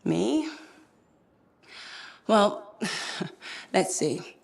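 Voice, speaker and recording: A woman's voice: mid-range voice, sing-song, extremely slow, creaky, exaggerated voice. An actress playing a thoughtful, self-doubting woman who talks in a rambling, wistful way in a game cutscene. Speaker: cypher ally. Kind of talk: video game cutscene acting.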